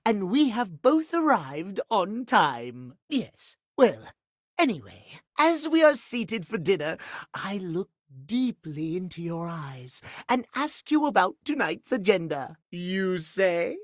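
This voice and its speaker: A man's voice: high-pitched, big pitch swings, takes it slowly, no echo, studio quality, heavily acted. A male actor voicing a fussy, romantic timepiece in a video game, with formal, theatrical, precise diction. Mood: flirtatious, prim. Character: fussy, prim, schedule-obsessed male timepiece, romantic dandy. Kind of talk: video game character acting.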